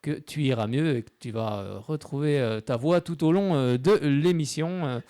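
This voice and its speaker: A man's voice: fairly high pitch, moderate pace, warm tone, no room sound, studio quality. A man hosting a live sports show on local radio, chatty and full of hesitant fillers.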